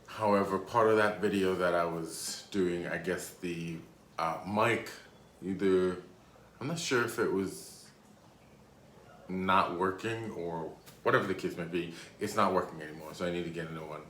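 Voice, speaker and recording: A man's voice: low voice, fairly even intonation, moderate pace, fairly bright tone. A man in his thirties from Rhode Island, telling a casual, rambling storytime with many hesitations. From Rhode Island, USA. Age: 30s. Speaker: YouTuber. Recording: echoey room, faint background noise.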